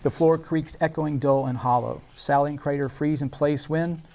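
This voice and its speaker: A man's voice: a little high, fairly even intonation, normal speed, vocal fry, mellow, slight background noise. A man reading scene descriptions and stage directions as the narrator of a screenplay table read.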